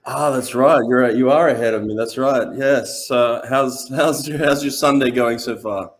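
A man's voice: mid-range voice, normal speed. A man hosting a podcast who asks loose, casual questions that stumble over fillers and restarts. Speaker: podcast host. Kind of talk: casual interview.

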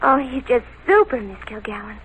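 A woman's voice: a little high, animated, normal speed, a bit bright, a little hiss or hum, rough recording. A woman playing a naive, gushing seventeen-year-old American schoolgirl in an old-time radio drama. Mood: dreamy, gushing.

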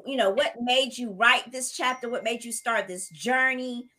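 A woman host with a bright, forceful voice talks effusively and with a preacher's enthusiasm. Her voice sits a little above average in pitch. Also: moderate pace. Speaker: podcast host and writing coach. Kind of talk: hosting a podcast book launch.

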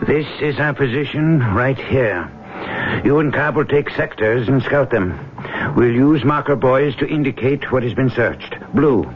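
An actor playing a shrewd, wealthy older expedition boss in an old-time radio drama, speaking in a measured, businesslike way. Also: male voice, mid-range voice, close to an everyday voice. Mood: brisk, commanding. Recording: noisy, tinny old radio broadcast.

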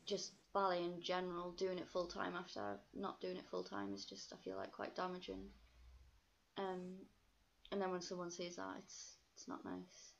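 Casting: young woman, soft half-posh Geordie, creaky voice, candid casual podcast guest, slightly lo-fi sound. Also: fairly low pitch, normal speed. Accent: soft Geordie mixed with posh English. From North East England. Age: young adult. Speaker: podcast guest, ballet dancer. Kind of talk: casual chat.

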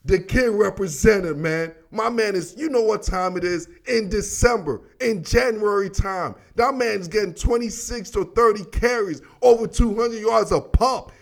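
Male American sports host, high voice with gravelly fry, emphatic and animated, street-casual delivery. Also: measured pace, few pauses. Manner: animated, emphatic, repeats himself, addresses listeners as dog and baby. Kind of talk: casual, slangy football commentary monologue.

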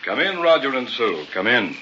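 An actor playing a smooth, pushy carnival hypnotist professor with theatrical patter, in old-time radio horror style. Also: male voice, medium pitch, sing-song, normal speed, slight background noise. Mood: hypnotic, menacing. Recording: muffled vintage radio broadcast with loud music.

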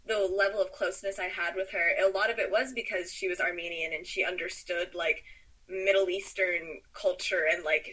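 A young woman, a poet, speaking as a podcast guest in thoughtful, rambling casual talk with many likes and soft laughs. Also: mid-range voice, low-quality recording. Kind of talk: casual conversational podcast chat.

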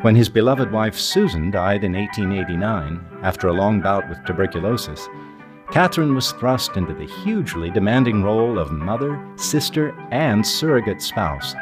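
An older man reads scripted podcast narration in a very low voice. His delivery rises and falls in a lively way, with clear music playing behind him. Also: moderate pace.

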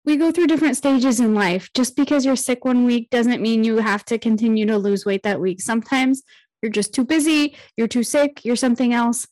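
A woman in her thirties, pregnant, joining a faith podcast by video call, calm and matter-of-fact. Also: fairly high pitch, normal speed, dry recording, rough recording. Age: 30s. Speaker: podcast co-host. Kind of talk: casual faith podcast chat.

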